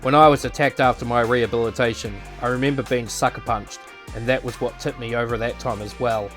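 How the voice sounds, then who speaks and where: A cocky young male fighter from a visual novel, acted by a man. He has a medium-pitched voice and a twisted streak. Music plays faintly behind him. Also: fairly even intonation, a little fast, natural voice. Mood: calm, reflective. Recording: voice over background music.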